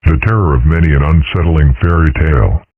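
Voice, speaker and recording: A man's voice: extremely low pitch, brisk, slightly rough, dry recording. A man voicing a pompous, timid dragon father in a comic dub, speaking in a formal, grandiose, wordy way. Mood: grand. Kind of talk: comic strip dub, character acting. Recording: muffled, dull, dry audio.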